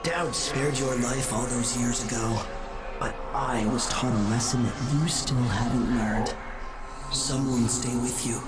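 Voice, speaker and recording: A man's voice: mid-range voice, steady tone, measured pace, close to an everyday voice. An actor playing a bitter, wounded man in a video game, delivering a grave, reflective monologue. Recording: loud music behind the voice. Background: loud game music.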